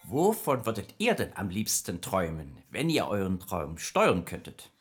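A man's voice: low voice, huge pitch swings, moderate pace, breathy edge, soft background music, heavily acted. A man voicing a friendly, chatty nightmare spirit who patiently explains dreams in a children's radio play. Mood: explaining. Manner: explains patiently, evasive then informative.

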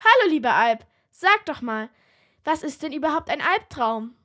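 A woman's voice: sits high, sing-song, moderate pace, bright, forceful tone, heavily acted. A woman playing an inquisitive show host in a children's radio play, presenting and asking questions. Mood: welcoming.

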